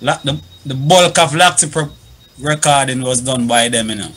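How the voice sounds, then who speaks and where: A man's voice: mid-range voice, normal speed, a bit bright. A man with a Jamaican accent talking as a panelist on a talk show. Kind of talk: panel discussion.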